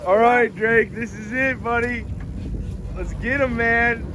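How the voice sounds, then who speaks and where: A man giving casual, encouraging and excitable running commentary while filming a fishing trip. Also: very high-pitched, slow pace. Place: open boat on a lake, outdoors. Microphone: handheld camera, speaker behind it. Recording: very noisy outdoor boat recording. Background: loud boat and water noise.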